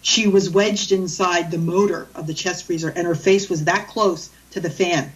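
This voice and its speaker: A woman's voice: fairly low pitch, steady tone, moderate pace, dull audio. Chatty woman, sounding far from the mic. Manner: chatty, rambling, frequent stammered restarts. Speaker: animal communicator guest. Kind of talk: storytelling in a radio interview.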